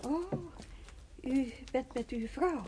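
An actress in a naturalistic radio play, playing a polite museum official who speaks formally and asks attentive questions. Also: female voice, sits high, takes it slowly, warm tone, a little hiss or hum. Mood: courteous, attentive. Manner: courteous, formal, politely inquisitive. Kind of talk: naturalistic radio drama acting.

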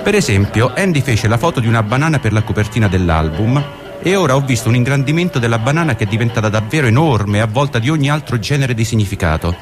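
A man's voice: mid-range voice, lively intonation, fast. A man reading a monologue as a radio performer. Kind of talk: dramatic reading of a translated monologue. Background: guitar music.

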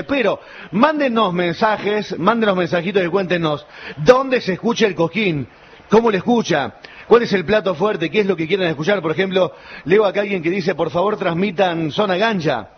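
High-voiced Argentine man on live radio. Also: moderate pace. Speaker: radio host. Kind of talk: live festival broadcast commentary. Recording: dull broadcast sound with clear noise.

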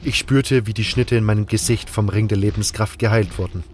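A man's voice: on the low side, steady tone, a little fast, darkish tone, a little hiss or hum. A man narrating a scripted fantasy audio drama in a dramatic storyteller's style. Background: music.

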